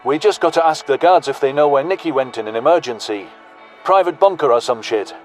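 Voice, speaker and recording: A man's voice: a little high, animated, a little fast, soft background music, lightly acted. A man playing a brash, pragmatic human soldier who leads with blunt, slangy, folksy talk. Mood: brash, practical.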